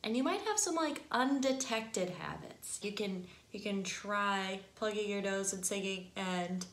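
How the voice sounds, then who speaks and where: A woman's voice: mid-range voice, measured pace, a bit bright, slight background noise. Nasal Midwestern woman, chatty and echoey. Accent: Upper Midwest American. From northern United States. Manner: chatty, laughs easily, frequent fillers. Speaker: singing teacher. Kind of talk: casual instructional talk to camera. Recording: echoey room.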